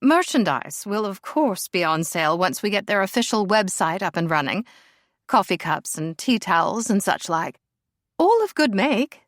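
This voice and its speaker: A woman's voice: mid-range voice, very animated, moderate pace, no room sound, studio quality, natural voice. A woman voicing a flamboyant aristocratic mother, grand and over-the-top. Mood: gushing.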